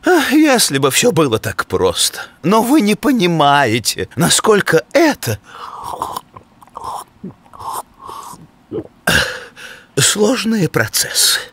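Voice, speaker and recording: A man's voice: high-pitched, wildly swooping pitch, a little slow, fairly bright tone, exaggerated voice. A man voicing a gloomy, learned, ageing cartoon moose who speaks theatrically, as if lecturing, in a children's animation. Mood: despondent. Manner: melancholy, theatrical, explanatory. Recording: music under the voice.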